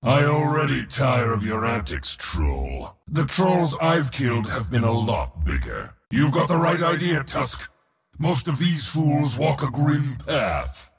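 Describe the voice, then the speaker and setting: A man playing an arrogant, boisterous war god in a video game, speaking in a bombastic, boastful way with a gravelly, raspy voice. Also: medium pitch, long reverb tail. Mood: disdainful, wry. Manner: bombastic, boastful, theatrical delivery. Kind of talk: video game hero voice lines.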